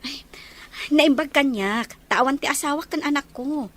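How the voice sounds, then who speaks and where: Actress as generous, kindly big sister in radio drama; somewhat high-pitched, sympathetic delivery. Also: female voice, moderate pace, a bit bright. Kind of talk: Ilocano audio drama acting.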